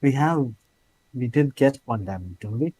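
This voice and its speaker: A man's voice: medium pitch, lively intonation, moderate pace, warm tone, husky, poor audio. A man playing a tabletop game, chatting casually in a hesitant way and repeating his words. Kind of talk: casual chat.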